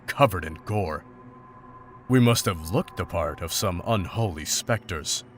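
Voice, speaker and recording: A grim monster hunter , acted by a man, speaks in a very low register. He is solemn and formal, measured in his storytelling, and sounds weighed down by war and guilt, as in a dramatic visual novel. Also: a bit bright. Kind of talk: visual novel voice acting, dramatic journal narration and dialogue.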